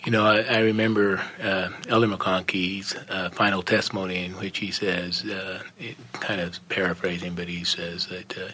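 A man's voice: sits low, flat delivery, normal speed, few pauses, pushed and bright, breathy edge. An older man on a discussion panel, speaking sincerely and reflectively with frequent restarts. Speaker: panel guest. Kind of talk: earnest discussion of faith.

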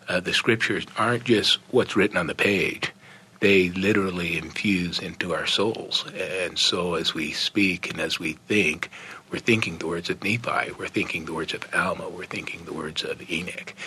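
A man's voice: deep voice, pushed and bright, a little hiss or hum. An older man on a discussion panel, speaking sincerely and reflectively with frequent restarts. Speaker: panel guest. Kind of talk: earnest discussion of faith.

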